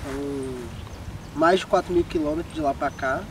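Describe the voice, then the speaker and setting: A man from northeastern Brazil, a law student speaking slowly and deliberately in an outdoor interview. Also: a little high. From Ceará, Brazil. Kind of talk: interview testimony. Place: outdoors by a street. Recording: distant mic outdoors with traffic.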